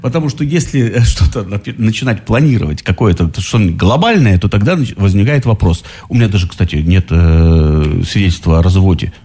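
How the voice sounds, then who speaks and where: A man's voice: low-pitched, lively intonation, fairly quick, few pauses, mellow, breathy edge. A man in his late forties co-hosting a radio talk show, speaking casually, bluntly and dismissively. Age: late 40s. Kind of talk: casual opinionated on-air banter.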